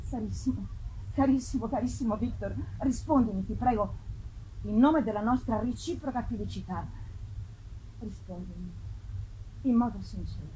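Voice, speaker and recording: A woman's voice: a little high, moderate pace, pauses often, dark tone, lightly acted, rough recording. A woman voicing a devoted young woman reading out her earnest, pleading love letter in a gothic novel. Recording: clear background ambience noise.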